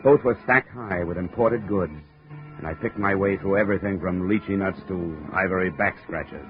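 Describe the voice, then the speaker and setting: A man's voice: low-pitched, normal speed, over music, natural voice. A man acting a tough, wisecracking investigator in a 1950s radio detective drama, with an American accent and a clipped, needling manner. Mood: matter-of-fact narration. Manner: hard-boiled, needling, clipped. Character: tough, wisecracking male insurance investigator narrating his case. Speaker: lead actor and narrator. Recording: muffled, noisy 1950s radio recording.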